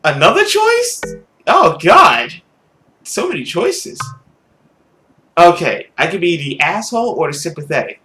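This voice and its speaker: Slightly higher-pitched man doing a let's play. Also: wildly swooping pitch, unhurried, long pauses, sharp tone. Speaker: gaming video host. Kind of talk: visual novel let's play, casual commentary and dramatic narration.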